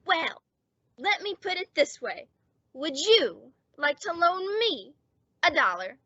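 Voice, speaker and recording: Woman as a high, bright, scheming teenage boy. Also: very animated, slow pace, slight background noise, full character voice. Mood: hopeful, wheedling. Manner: earnest, formal pitching of silly arguments. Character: earnest, scheming, dim-witted teenage boy suitor. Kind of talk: old-time radio sitcom acting. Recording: dull, lo-fi audio.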